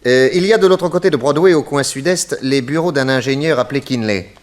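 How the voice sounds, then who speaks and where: A man playing a shrewd, courteous private detective in a radio serial, speaking in a deliberate, inquisitive, polite manner. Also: mid-range voice, lightly acted. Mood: courteous, directive. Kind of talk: old French radio detective serial acting.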